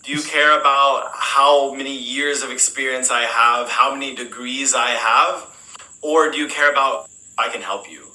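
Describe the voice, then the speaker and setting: An American man, an English teacher, answering interview questions in a relaxed, rambling way, full of fillers and restarts. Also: mid-range voice, normal speed, reverberant, slight background noise, poor audio. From United States.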